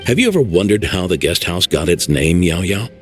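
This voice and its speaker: An actor voicing a calm, knowing older man who keeps a guest house in a game, speaking in a measured, gently correcting way. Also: low voice, soft background music. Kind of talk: game voice acting.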